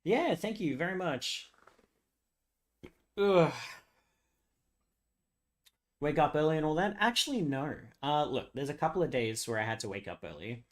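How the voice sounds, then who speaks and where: Hoarse young man chatting on stream. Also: on the high side, animated, unhurried, long pauses. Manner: rambling, laughs often, swears casually. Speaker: live streamer. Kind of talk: casual live-stream chat with viewers.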